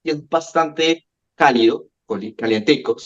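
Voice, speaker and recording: A Colombian man with a Bogotá accent, talking on a casual podcast in a slightly high voice. He explains things in an animated way and often repeats words as he gets going. The sound is dull and lo-fi. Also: measured pace. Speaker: podcast co-host. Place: home room with a window.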